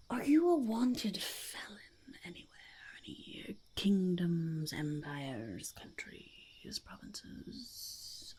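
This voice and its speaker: Whispering, gravelly goblin interviewer. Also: female voice, mid-range voice, a little mellow, full character voice. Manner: halting, fussy, self-repeating, refers to self in third person. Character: eccentric, self-important goblin interviewing candidates for henchman. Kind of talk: ASMR roleplay, whispered and soft-spoken interview.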